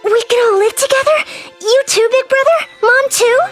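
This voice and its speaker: A woman voicing an excited, adoring little sister, sweet and eager, in a video game. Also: very high-pitched, normal speed. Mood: eager hope. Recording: loud music behind voice. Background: loud music.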